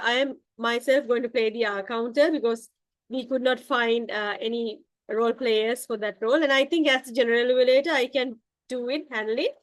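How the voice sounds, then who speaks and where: Woman from Sri Lanka, a fairly high voice with a dry, close sound, for a friendly general evaluator who leads an online club meeting. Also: dry recording. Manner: friendly, with hesitant fillers.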